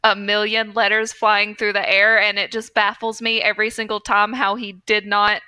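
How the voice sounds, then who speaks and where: A woman's voice: mid-range voice, flat delivery, moderate pace, sharp tone, smooth, no echo. A woman co-hosting a podcast, chatting casually in an informal, slangy way. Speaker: podcast co-host. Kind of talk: casual chat.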